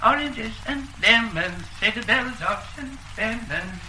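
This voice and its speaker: High-voiced old shopkeeper. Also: male voice, expressive, a little slow, background noise. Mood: genial, wistful. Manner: hesitant, wistful rambling. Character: kindly, rambling old male antique-shop keeper. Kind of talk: mid-century broadcast drama acting.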